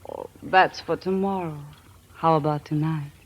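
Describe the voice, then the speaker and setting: An actress playing an alluring, mysterious princess in a vintage radio drama, with a coy, theatrical manner. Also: female voice, low voice, steady tone, normal speed. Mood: coy, seductive. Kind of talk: 1940s-50s American radio acting. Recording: noisy vintage radio recording.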